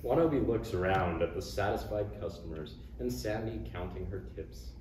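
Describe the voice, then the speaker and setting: A man's voice: sits low, normal speed. A man giving scripted narration, reading out the stage directions at a table read. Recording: roomy distant recording with faint noise.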